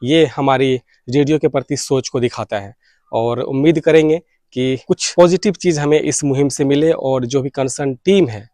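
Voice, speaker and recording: A man's voice: mid-range voice, darkish tone, no room sound, clean studio sound. An Indian man making an earnest campaign appeal as a video host, mixing English words into his speech. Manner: Hindi mixed with English words. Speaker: YouTube host and radio enthusiast.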